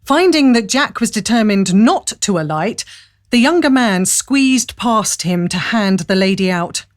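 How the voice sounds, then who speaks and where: A woman's voice: mid-range voice, expressive, unhurried. A woman giving a dramatic scripted narration of a period adventure story. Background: faint noise and ambience.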